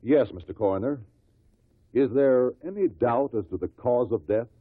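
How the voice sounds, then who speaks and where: An actor playing a shrewd investigator who puts formal, insistent questions to witnesses at an inquest in a 1950s radio drama. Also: male voice, mid-range voice, moderate pace, no echo, natural voice. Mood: probing, firm. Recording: muffled old radio recording.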